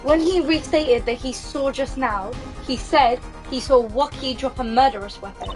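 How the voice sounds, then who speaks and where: Woman as a plucky young female assistant, cheeky, insistent, high voice, video game courtroom, music behind. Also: moderate pace, lightly acted. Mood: pointed sympathy.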